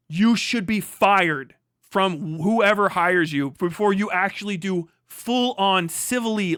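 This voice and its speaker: High-pitched, indignant man ranting. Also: pushed and bright, no room sound, studio quality. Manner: profane, indignant, emphatic, repetitive. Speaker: livestream commentator. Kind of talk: unscripted reaction rant.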